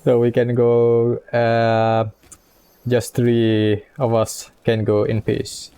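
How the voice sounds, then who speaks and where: A man doing casual game playthrough commentary, halting as he reads choices and adds short asides. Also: mid-range voice, completely flat delivery, warm tone, smooth. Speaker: game streamer.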